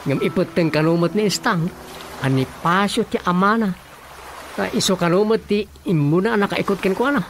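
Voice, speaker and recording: A man's voice: high-pitched, normal speed. A man acting a flustered, gossipy village councilman in an Ilocano radio comedy-drama, exclaiming and hesitating conversationally. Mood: gossipy, exasperated. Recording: music bed under the dialogue. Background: background music.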